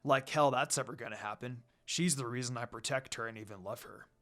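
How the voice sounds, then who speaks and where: A man plays a proud demon elder brother in a fantasy story reading. He is protective and curt, speaking in a restrained, low-key way. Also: fairly quick, a bit bright, natural voice. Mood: jealous.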